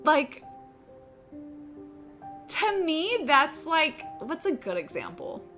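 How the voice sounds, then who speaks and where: A woman's voice: sits high, big pitch swings, slow pace, fairly bright tone. A woman chatting casually as a live streamer, opinionated, emphatic and free with swearing. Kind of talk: casual chat while gaming. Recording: game music in the background. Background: video game music.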